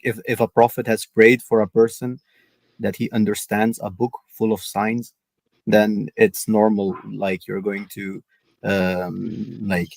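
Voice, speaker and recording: A man with a fairly low voice argues on a video call. He hesitates often and fills gaps with "like" and "uh." His sound is close and dry. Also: vocal fry, dry recording. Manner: hesitant, full of "like" and "uh" fillers. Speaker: debate guest.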